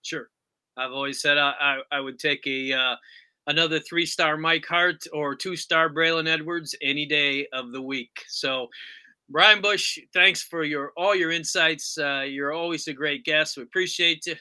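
A man's voice: on the high side, steady tone, a little slow, bright, forceful tone, smooth. A man hosting a sports talk interview, putting questions and comments to his guest. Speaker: sports podcast interviewer, host.

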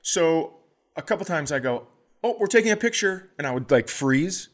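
A middle-aged man, a comedian co-hosting a podcast, telling stories in a casual, animated way and acting out the people in them. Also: on the high side, normal speed. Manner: animated, acts out voices in his stories.

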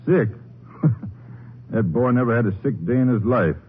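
An actor playing a gruff, grumbling small-time rancher with a folksy drawl in a 1950s radio western. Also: male voice, medium pitch, animated, normal speed. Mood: grumbling, easygoing. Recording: tinny, noisy 1950s radio recording.

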